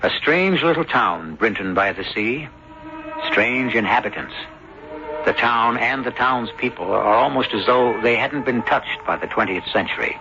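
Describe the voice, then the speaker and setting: A man hosting a radio mystery with theatrical scripted narration, ominous and deliberate. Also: medium pitch, animated. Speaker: host. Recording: dull old radio broadcast recording. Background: music.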